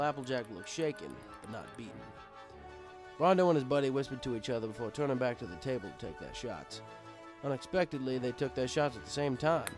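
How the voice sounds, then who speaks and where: A man narrates a story in the first person. His voice has a middle pitch for a man, and quiet music runs underneath his scripted reading.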